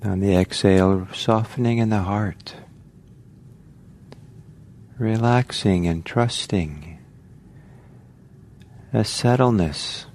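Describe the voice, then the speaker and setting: A man leading a guided meditation as a teacher, speaking gently in short phrases with long pauses. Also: fairly low pitch. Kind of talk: guided meditation, slow spoken instructions. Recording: faint background noise.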